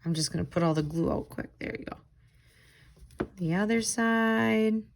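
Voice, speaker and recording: A woman's voice: medium pitch, moderate pace, gravelly, slight background noise. A woman tutor giving children simple, encouraging step-by-step instructions for a craft. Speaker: tutor leading a children's read-aloud craft.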